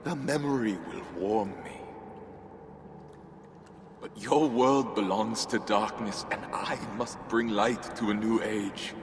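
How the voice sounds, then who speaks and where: An actor playing a gentle, resigned son of a Norse god in a video game, speaking solemnly and tenderly. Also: male voice, fairly low pitch, slow. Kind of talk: dramatic video game acting. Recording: long echoing reverb, noisy ambience.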